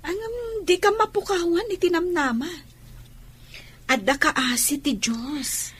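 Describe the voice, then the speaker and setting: A modest, hopeful young student played by a woman speaks in a high voice. She has an Ilocano accent and the delivery of a radio drama. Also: measured pace, a bit bright.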